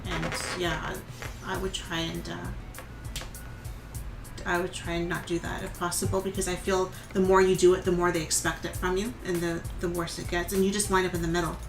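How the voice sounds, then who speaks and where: A woman's voice: lowish voice, little pitch movement, brisk, gravelly. Woman co-host in an echoey room. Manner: conversational, restarts sentences. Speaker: co-host reading viewer questions. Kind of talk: casual chat and reading messages aloud. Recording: echoey room with music behind.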